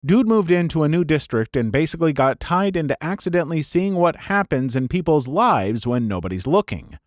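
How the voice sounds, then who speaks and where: A man's voice: mid-range voice, dry recording, studio quality. A man narrating a storytelling channel, reading viewer comments aloud with a soothing voice and chatty, self-mocking asides. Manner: lively, laughs at himself in the asides.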